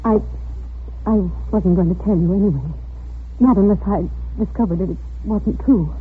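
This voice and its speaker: An anxious, earnest landlady in a vintage radio thriller. Her voice is very husky and urgent, heard through a muffled, narrow old recording. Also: mid-range voice, moderate pace. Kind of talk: 1940s radio acting.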